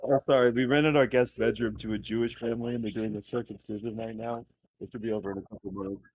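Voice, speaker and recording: A man's voice: on the low side, steady tone, fast talker, a little mellow, breathy edge, poor audio. A man chatting casually as a podcast guest, joking in a dry, mock-serious way.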